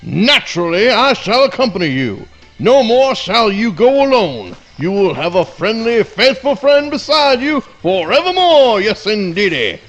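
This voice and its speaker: A man's voice: sits high, very animated, measured pace, fairly bright tone, highs cut off, faint music behind, exaggerated voice. A man voicing an overeager, fawning hound dog who talks in florid, grandiose repetitions in a live radio play. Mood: fawning devotion. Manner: florid, grandiose, repeats himself.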